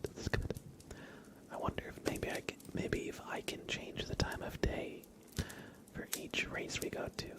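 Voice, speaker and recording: A man hosting an ASMR livestream in a hushed, breathy whisper with a deliberate, unhurried delivery. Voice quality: breathy, mostly unvoiced whisper. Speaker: ASMR live streamer and host.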